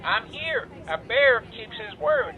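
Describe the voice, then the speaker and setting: Tinny phone line, squeaky-high toy bear, courteous, naive, audio drama. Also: male voice, huge pitch swings, takes it slowly, exaggerated voice. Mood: agreeable, puzzled. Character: polite, earnest living teddy bear leading hostage takers.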